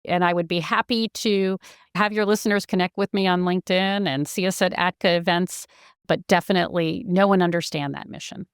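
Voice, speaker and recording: A woman's voice: on the low side, steady tone, fairly quick, smooth, no echo, studio quality. A woman executive giving explanatory answers in an interview, speaking in an earnest, enthusiastic and articulate way. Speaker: company chief executive, interview guest.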